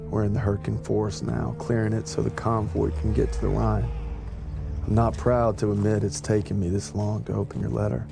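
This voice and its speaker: Gravelly, low-voiced young soldier. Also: male voice, little pitch movement, brisk. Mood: subdued, confessional. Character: young American soldier narrating letters home, reflective and weary. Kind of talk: reflective dramatic voice-over. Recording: music under the voice.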